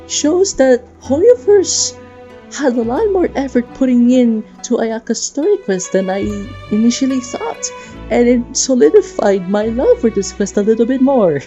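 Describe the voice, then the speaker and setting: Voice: mid-range voice, expressive, unhurried, mellow, lo-fi sound. A young adult narrating a personal, sentimental video essay about a game in an earnest way. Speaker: video creator and host. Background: guitar music.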